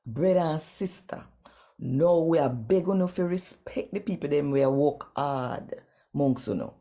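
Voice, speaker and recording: A woman with a Jamaican accent hosting and reading scripture aloud in Jamaican Creole, deliberately and expressively. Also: low-pitched, lively intonation, mellow. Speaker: host and scripture reader.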